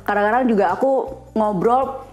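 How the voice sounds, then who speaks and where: A woman's voice: medium pitch, moderate pace, a little mellow, faint music behind. A woman in her thirties from West Java with a light Sundanese accent, an English tutor chatting casually and explaining things with lots of fillers. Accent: Sundanese-tinged Indonesian. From West Java, Indonesia. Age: 30s. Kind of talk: casual interview chat.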